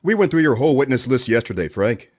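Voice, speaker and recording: A man's voice: mid-range voice, sing-song, quick pace, darkish tone. A man playing a dogged, wordy private detective with theatrical hardboiled delivery in a comedic noir radio play. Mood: suspicious. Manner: theatrical, hardboiled first-person narration and probing questions.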